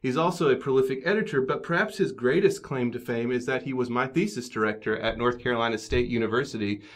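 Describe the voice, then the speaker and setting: A man's voice: medium pitch, quick pace. Wry American man hosting a podcast. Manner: wry, dryly joking. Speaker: podcast host. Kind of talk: introducing guests and steering a round-table discussion.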